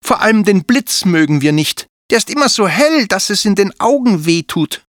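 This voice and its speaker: A storyteller voicing an excitable young girl dragon in a children's audiobook, high and bright. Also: moderate pace, no echo, studio quality, lightly acted. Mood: earnest.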